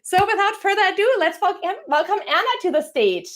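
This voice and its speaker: A woman's voice: very high-pitched, big pitch swings, brisk. A woman from Germany, a career coach, hosting a live-streamed interview with an upbeat, welcoming manner. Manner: upbeat, welcoming, scripted-sounding intro.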